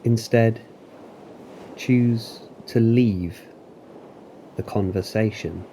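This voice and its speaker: Guy doing calm guided meditation, lowish super mellow voice, long pauses, faint rumbly waves behind him. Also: slight background noise.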